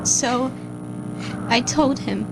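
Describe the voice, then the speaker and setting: A woman voicing a shaken, guilt-ridden scientist who records a lab log for a horror series, halting and stammering anxiously. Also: sits high, creaky, loud music behind the voice, full character voice, low-quality recording. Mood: haunted, uneasy. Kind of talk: audio log monologue in an analog horror series.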